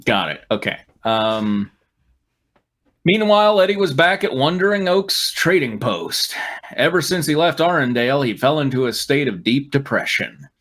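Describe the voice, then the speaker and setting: A man's voice: medium pitch, sing-song, normal speed, fairly bright tone. A man reading a story aloud dramatically, breaking off for dry, mocking asides. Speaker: reader on a fan fiction comedy show.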